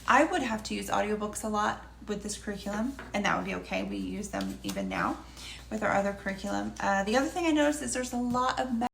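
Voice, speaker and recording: Middle-aged woman, homeschool mom reviewer, scratchy and gravelly, chatty and unscripted; roomy sound. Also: medium pitch, lively intonation, fast, fairly bright tone. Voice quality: slightly scratchy, creaky voice. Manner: conversational, meandering. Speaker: homeschooling mother reviewing a curriculum. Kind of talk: casual review vlog, unscripted. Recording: echoey room with faint background noise.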